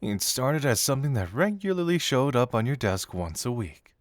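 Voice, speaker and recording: A playful young male detective, acted by a man in an intimate audio roleplay. He is teasing and theatrical, musing aloud to the listener with very animated intonation. The sound is dry, with no room around it. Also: medium pitch, moderate pace, dry recording, lightly acted. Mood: teasing, intrigued. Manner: teasing, theatrical, musing hums and soft chuckles.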